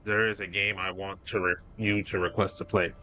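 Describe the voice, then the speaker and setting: A male streamer chatting loosely with his audience, looping back and restarting his sentences as he goes. His voice is bright and forceful, with faint game music running under it. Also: sits low, flat delivery, moderate pace. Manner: rambling, restarts sentences, talks to chat. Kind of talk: casual live-stream chat.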